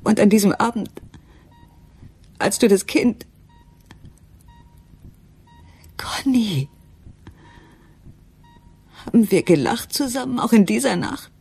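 A woman playing an anguished, frightened wife and mother in a radio drama, speaking haltingly and intimately, at times near a whisper. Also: medium pitch, expressive, a little mellow, heavily acted. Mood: anguished, disbelieving. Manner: breathy, halting, dropping into whispers. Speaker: actress in a crime radio play.

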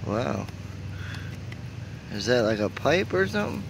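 Male travel vlogger, unhurried and easily excited, outdoors on a handheld camera with faint background noise. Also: mid-range voice, creaky. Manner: excited, exclaiming at what he finds. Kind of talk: casual walking tour narration. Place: outdoors at a spring park.

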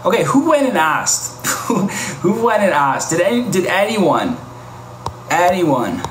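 A man's voice: high-pitched, sing-song, takes it slowly. Chatty man on a noisy echoey livestream. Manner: chatty, reacting to viewer comments. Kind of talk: casual live-stream chat. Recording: echoey with loud ambient noise.

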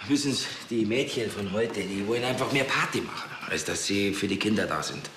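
A strict agency boss, formal and self-important, lowish voice, echoey room. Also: male voice, animated, a little fast, noisy background. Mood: stern. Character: smooth-talking, strict au pair agency boss. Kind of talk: TV crime drama acting.

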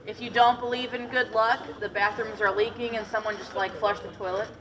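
A woman's voice: fairly high pitch, flat delivery, brisk, crowd noise. Woman, chatty tour guide, joking and giggly, casual storytelling, recorded from a distance, people around. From Detroit, Michigan; raised partly in Tennessee. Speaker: cave boat tour guide. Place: boat on an underground lake in a cave. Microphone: passenger's camera at some distance.

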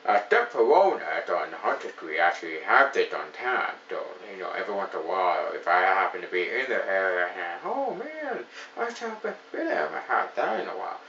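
A man in his thirties talks through a beer review in a loose, enthusiastic ramble, swinging his voice up and down. His low voice sits back from the mic in a small echoey room. The sound is a little dull. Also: very animated, brisk, bright, forceful tone, far from the mic, slight background noise. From Ontario, Canada. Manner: rambling, enthusiastic, many fillers. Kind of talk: casual beer review to camera.

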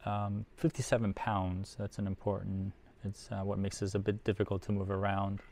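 A middle-aged man giving casual, unhurried unboxing commentary, thinking aloud as he handles things in a workshop. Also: on the low side, completely flat delivery, vocal fry, a little mellow. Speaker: host of an audio gear unboxing video. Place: indoor workshop at a workbench.

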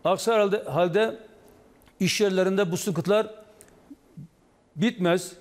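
Union official, male, sixties, high-pitched, measured stop-start delivery, echoing hall-like sound. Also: darkish tone. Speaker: trade union official, interview guest. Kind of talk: live TV news interview, explaining. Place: indoor office or studio, video link to TV broadcast. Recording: long reverberant hall echo.

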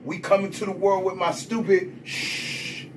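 A middle-aged man bragging and riffing in loud, profane banter inside a small vending room, filmed on a phone. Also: high voice, steady tone, measured pace, far from the mic, a little hiss or hum, rough recording. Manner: loud, brash, profane hype talk with laughter. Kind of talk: boastful rambling banter.

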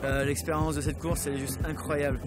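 A man's voice: fairly high pitch, little pitch movement, fast talker, loud music behind the voice. A man in his thirties, a skipper, interviewed outdoors at a marina, speaking with enthusiastic emphasis.

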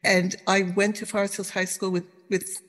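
A woman's voice: mid-range voice, little pitch movement, moderate pace, a bit bright, long reverb tail. An older woman from Queens with a strong New York accent, answering interview questions with chatty, emphatic storytelling. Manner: chatty, emphatic, repeats and restarts phrases. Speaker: guest, novelist and literary scholar.

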